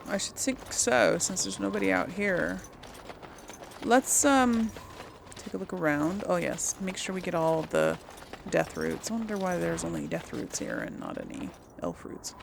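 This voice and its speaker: A woman's voice: fairly low pitch, big pitch swings, a little slow, gravelly, a little hiss or hum. A woman giving casual gameplay commentary, thinking aloud and rambling as she plays. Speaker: gaming video host and player. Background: game ambience.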